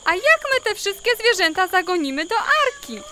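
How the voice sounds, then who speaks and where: A woman's voice: extremely high pitch, very animated, moderate pace. A woman playing a busy, fretful mother in a children's audio drama. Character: busy, fretful mother of ark-building family. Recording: noisy crickets and frogs behind.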